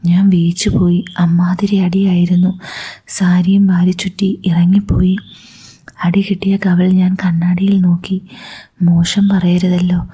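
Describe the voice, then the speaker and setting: Whispering woman, dark and husky. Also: fairly low pitch. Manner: breathy whisper throughout. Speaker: story narrator. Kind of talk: whispered first-person story reading.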